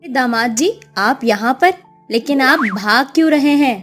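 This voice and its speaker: A demanding mother-in-law in a cartoon story, fussing and ordering her son-in-law to wait on her. Her voice is a little high. Soft music plays underneath. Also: unhurried. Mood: curious. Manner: fussy, bossy, expects to be pampered. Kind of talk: cartoon voice acting.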